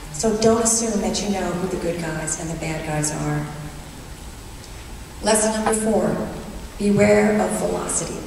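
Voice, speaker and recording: A middle-aged woman giving a formal commencement address in an earnest, measured way with touches of humor, speaking at a podium on an auditorium stage. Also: mid-range voice, vocal fry. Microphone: podium microphone heard from the hall. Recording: distant echoey hall recording with noise.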